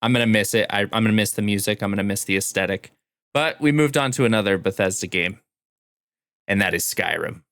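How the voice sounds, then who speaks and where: A man's voice: fairly low pitch, brisk, fairly bright tone, no room sound, studio quality. A man chatting casually and enthusiastically about video games as a podcast co-host.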